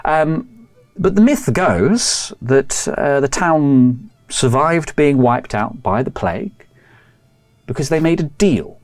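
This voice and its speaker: A man's voice: on the high side, expressive, slow pace, dry recording, faint music behind, pitched above the performer's own voice. A man doing a fussy village librarian. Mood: evasive. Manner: hesitant, rambling with many fillers and restarts. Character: fussy male village librarian, polite and evasive.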